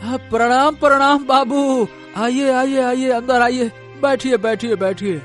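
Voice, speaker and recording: A man's voice: very high-pitched, fairly even intonation, a little slow, soft background music. A man playing a timid, deferential villager in a cartoon story, hesitant and rustic in manner. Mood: nervous, pleading. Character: timid, deferential village men: henchman and anxious farmer father. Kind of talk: Hindi cartoon story acting.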